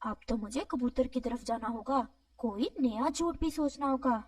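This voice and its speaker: A woman plays a sly male crow from a children's cartoon. The voice is high and wheedling, full of greedy pleading. It sounds dry, yet set a little back from the mic. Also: moderate pace, slightly rough, no echo, recorded from a distance. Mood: scheming. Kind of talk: animated children's moral story dialogue.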